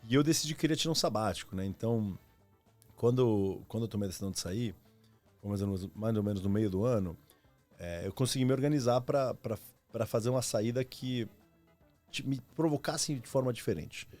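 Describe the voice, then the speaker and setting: A man's voice: lowish voice, fairly quick, a little mellow, faint music behind. A man, telling his story casually and reflectively as a podcast guest, in slangy, informal speech. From Argentina. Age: 30s. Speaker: podcast guest, former marketing executive.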